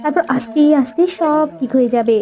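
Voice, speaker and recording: A woman's voice: sits high, brisk, dark tone, natural voice. A woman voicing an affectionate, devoted young sweetheart in a cartoon story, tender and coaxing. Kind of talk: acted cartoon story dialogue.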